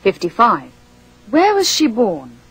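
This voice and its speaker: A woman reading a scripted language course drill, slowly and with careful enunciation. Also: fairly high pitch, huge pitch swings, fairly bright tone, husky, poor audio. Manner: slow, deliberate, carefully enunciated.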